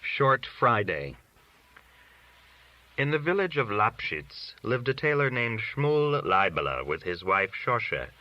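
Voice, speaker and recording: A man's voice: medium pitch, very animated, takes it slowly. A man reading literary short stories aloud as scripted narration. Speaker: audiobook-style reader of short stories. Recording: dull, lo-fi recording.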